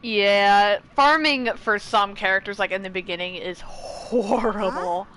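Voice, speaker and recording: A woman's voice: medium pitch, unhurried, fairly bright tone. A young woman giving casual, chatty, opinionated gameplay commentary that rambles along. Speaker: game streamer.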